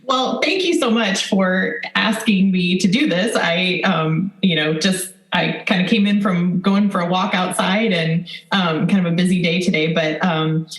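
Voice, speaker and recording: Middle-aged Midwestern American woman, podcast guest, lowish voice, informal storytelling, roomy echo. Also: measured pace, few pauses. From rural southwest Iowa, United States. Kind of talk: casual interview talk. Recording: echoey room sound.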